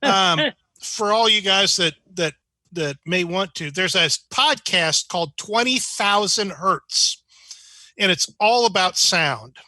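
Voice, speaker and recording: A man's voice: sits high, takes it slowly, pushed and bright, no echo. A man chatting casually on an online group meeting, rambling and rephrasing as he goes. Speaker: club member.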